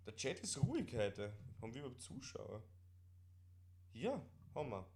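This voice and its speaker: A man's voice: mid-range voice, huge pitch swings, moderate pace, darkish tone. A man with an Austrian accent chatting casually as co-host of a live stream, in colloquial dialect and often repeating words.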